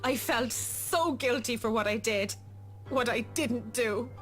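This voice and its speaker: High-voiced, guilt-ridden young businesswoman. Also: a little slow, fairly bright tone. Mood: remorseful. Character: guilt-ridden young businesswoman confronting her dead first love. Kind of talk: visual novel game voice acting. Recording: music behind the voice.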